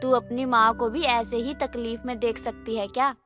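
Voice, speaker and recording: A woman's voice: on the high side, level tone, normal speed, fairly bright tone, natural voice. A woman voicing an exasperated, scolding middle-aged mother-in-law in a moral story cartoon, complaining and nagging. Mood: pained, pleading. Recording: music under the voice.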